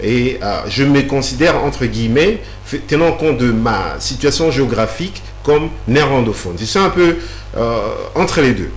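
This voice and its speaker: A man lays out a long explanation, stopping often on fillers and repeated words. His voice sits at a middle pitch. The room is echoey, and a faint hum runs underneath. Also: animated, moderate pace. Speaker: guest. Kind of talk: explanatory interview answers.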